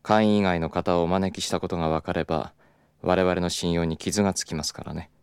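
Very deep-voiced, self-assured executive. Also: male voice, fairly even intonation, clear, resonant tone, no room sound, clean studio sound. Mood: gracious, condescending. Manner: polite, unhurried, insinuating formal business speech. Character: smooth, persistent, self-assured male club executive from Kyoto. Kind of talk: Japanese audio drama acting.

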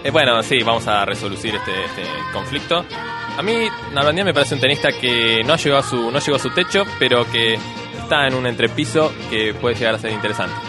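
A man's voice: medium pitch, lively intonation, brisk, music in the background. A man with an Argentine Rioplatense accent, chatting jokingly as a radio co-host. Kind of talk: joking studio chat.

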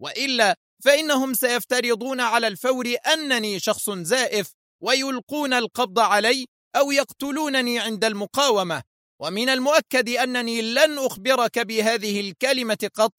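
A cruel, cunning spymaster in a storybook reading, his voice pitched well above the reader's own, as a man puts him on. He drags out his threats slowly and heavily, recorded close and dry with no room sound. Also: sharp tone, no echo. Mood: menacing. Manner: threatening, slow and emphatic. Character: vicious cunning male spymaster, menacing.

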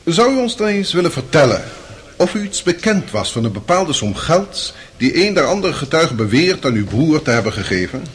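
An actor playing a stern public prosecutor in a radio drama, formal, sarcastic and insinuating. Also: male voice, a little high, very animated, normal speed, a little hiss or hum. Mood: smooth, insinuating.

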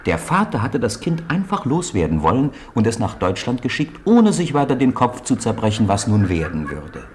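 A man narrating a 1950s radio play as a measured, expressive storyteller. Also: mid-range voice, slight background noise.

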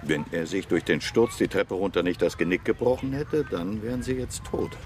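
An actor in a radio crime drama playing a concerned, inquisitive man with a gravelly voice. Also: low-pitched, normal speed, crowd noise, natural voice. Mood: probing, sympathetic. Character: concerned, inquisitive male acquaintance questioning a couple.

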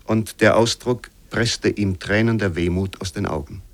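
A man reading literary prose aloud as scripted narration, with measured, formal phrasing. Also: fairly low pitch, steady tone, no echo. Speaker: literary reader.

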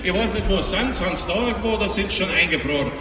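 A man's voice: sits high, lively intonation, fast. A man with a Bavarian accent making rambling, colloquial, self-correcting live announcements as an outdoor event host. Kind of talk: improvised live stage announcing. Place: outdoors in a cold city space. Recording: echoey outdoor PA, distant, noisy.

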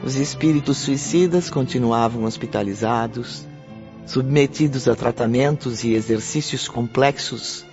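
Male actor as solemn narrator, scripted radio drama, mid-range pitch, muffled recording with background music. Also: a little mellow, natural voice.

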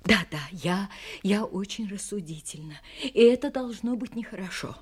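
An actress playing a serious, frank young woman in love in an audio drama, speaking in a halting, earnest, sad manner that sinks to a near-whisper. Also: mid-range voice, animated, breathy edge. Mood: quiet despair. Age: young adult.

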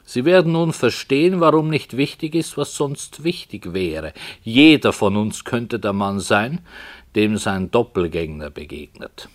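Deep male voice, very animated melody, man playing a wry storyteller-author, thoughtful, deliberate, old radio play. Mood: measured, explaining. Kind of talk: scripted 1960s radio play dialogue.

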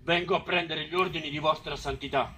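A man's voice: a little high, steady tone, fairly quick, sharp tone, husky. A man acting a proud, agitated eyewitness in a theatrical historical drama set in old Rome. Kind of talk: theatrical storytelling. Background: live instrumental music.

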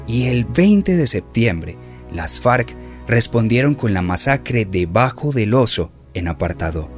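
A man's voice: medium pitch, darkish tone. Solemn male radio drama narrator. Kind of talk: scripted documentary-style radio drama narration.

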